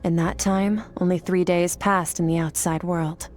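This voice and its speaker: A woman's voice: on the low side, steady tone, measured pace, lightly acted. A woman voicing a cool-headed, aloof mentor in a video game, who speaks in a curt, businesslike way. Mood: explaining.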